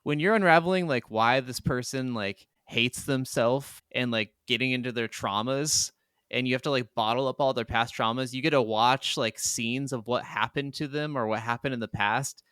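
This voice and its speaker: A man's voice: medium pitch, normal speed, a bit bright, clear, resonant tone, no room sound. A man co-hosting a gaming podcast in casual chat, enthusiastic and free with slang and swearing.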